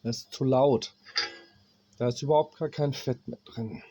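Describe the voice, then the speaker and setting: A man's voice: medium pitch, dark tone, slight background noise. A man with a German accent and a cold explains a dryer repair bluntly, stopping now and then to check parts as he works. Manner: blunt, plain-spoken, swears at cheap gear; pauses while working, talks to himself as he checks parts. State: has a cold, coughing. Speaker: appliance repairman.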